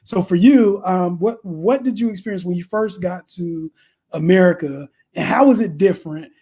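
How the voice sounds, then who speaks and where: High-voiced laughing male host. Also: moderate pace, dark tone, husky. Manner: easygoing, laughs often, restarts his questions. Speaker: interview host. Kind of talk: casual interview chat.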